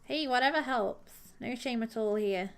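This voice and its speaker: A woman's voice: a little high, moderate pace, clear, resonant tone. A woman streamer chatting casually with her viewers while she cross-stitches, rambling and laughing often.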